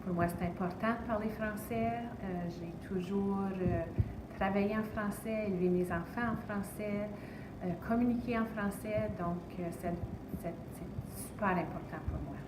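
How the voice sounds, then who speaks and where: A middle-aged woman with a Canadian French accent speaks earnestly in a short interview, pausing often on fillers. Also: mid-range voice. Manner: earnest, hesitant with many fillers. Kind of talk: vox-pop testimony. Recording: distant, roomy, noisy recording.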